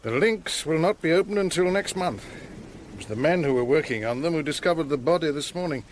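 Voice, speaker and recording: An actor playing a polite, officious middle-aged police commissary in a radio drama. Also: male voice, fairly high pitch, lively intonation, a little fast, a little hiss or hum, close to an everyday voice. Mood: confident, officious.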